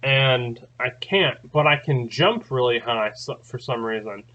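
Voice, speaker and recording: A man's voice: medium pitch, expressive, unhurried, bright, forceful tone, dull audio. A man hosting his own channel, telling a long story in a rambling, casual way, full of fillers and restarts. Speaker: channel host. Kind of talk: casual spoken recounting.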